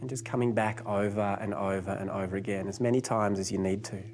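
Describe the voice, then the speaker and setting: A man with a fairly low voice leads a guided meditation. He gives gentle instructions in an unhurried way, leaving pauses between phrases. There is clear background noise on the recording. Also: steady tone.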